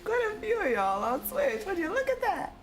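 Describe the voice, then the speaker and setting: A woman's voice: very high voice, wildly swooping pitch, moderate pace. A woman livestreaming a game, chatting casually and reacting in a playful way. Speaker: game streamer.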